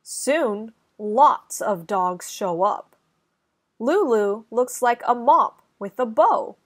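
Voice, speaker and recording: Very slow, high-voiced young woman reading aloud. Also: a bit bright. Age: young adult. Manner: deliberate, carefully enunciated delivery for young children. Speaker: children's channel host and story reader. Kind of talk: slow, clear children's read-aloud.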